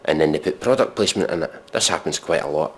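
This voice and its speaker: A man giving mock dramatic readings and sardonic commentary in a deadpan, exasperated, sweary manner. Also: extremely low pitch, quick pace. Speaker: comedic commentator.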